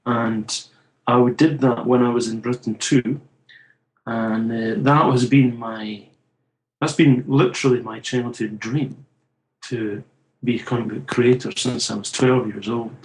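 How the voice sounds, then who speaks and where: A man's voice: medium pitch, fairly even intonation, unhurried, rough recording. Scottish man, middle-aged, podcast guest, chatty and dry-humored, mid-range voice. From Scotland. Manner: chatty, wry storyteller with a dry joking humor. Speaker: guest, comic book writer. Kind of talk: casual interview chat.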